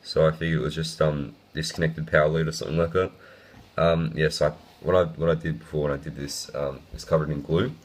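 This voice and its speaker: A young man with a croaky, sick voice, talking casually through a hobby build video with lots of ums. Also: rumbling low, flat delivery, a little fast. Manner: casual, rambling with many ums.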